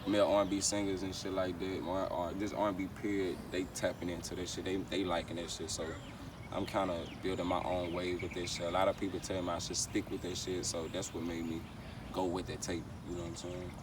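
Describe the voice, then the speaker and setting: Very deep-voiced Louisiana man, loud background noise. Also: level tone, brisk. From Baton Rouge, Louisiana. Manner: slangy, repeats phrases. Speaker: R&B singer and rapper being interviewed. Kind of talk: casual interview chat. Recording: distant mic, loud outdoor street noise.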